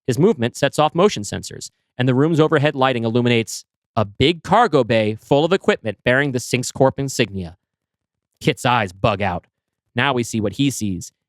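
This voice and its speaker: A man reading his screenplay aloud, with brisk scene-setting narration. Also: mid-range voice, expressive, no echo. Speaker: writer pitching a screenplay.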